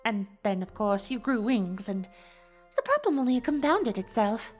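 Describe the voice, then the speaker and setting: A woman's voice: on the high side, big pitch swings, moderate pace, soft background music. A woman voicing an elegant, teasing female pony in a dramatized story reading, with an affected, refined delivery. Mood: matter-of-fact, flattering.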